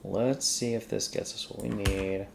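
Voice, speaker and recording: A man's voice: fairly low pitch, steady tone, a little slow, vocal fry, noisy background. A man talking casually through a coding task as a screencast host, often muttering to himself as he works. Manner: mutters to self while working. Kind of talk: casual think-aloud coding tutorial.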